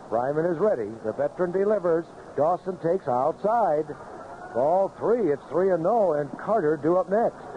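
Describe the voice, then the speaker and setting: A man's voice: fairly high pitch, highs cut off, a little hiss or hum. A man calling baseball play-by-play live on a vintage radio broadcast. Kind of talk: live radio sports commentary. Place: outdoor ballpark broadcast booth.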